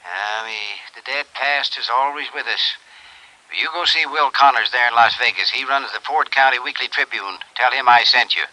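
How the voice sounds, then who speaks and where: An actor playing a cautious, uneasy small-town bank president in a 1950s radio drama, heard as if over a telephone. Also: male voice, mid-range voice, normal speed. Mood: evasive, uneasy. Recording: thin, sharp, faintly noisy old radio.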